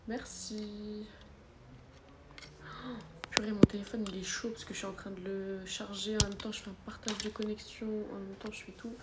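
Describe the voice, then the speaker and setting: A woman's voice: medium pitch, little pitch movement, moderate pace. A young woman with a cold, chatting casually on a phone livestream from her sofa and answering viewers' comments. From France. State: has a cold. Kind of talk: casual live chat. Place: living room, seated on a sofa, indoors. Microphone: phone camera at arm's length. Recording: echoey room with faint background noise.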